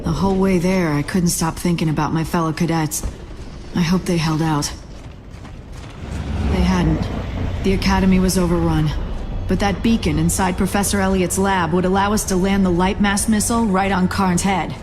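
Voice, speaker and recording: A woman's voice: lowish voice, steady tone, moderate pace, music in the background, lightly acted. A young woman playing an earnest, dutiful military cadet in a video game. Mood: somber. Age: young adult. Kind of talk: video game voice acting, partly scripted narration.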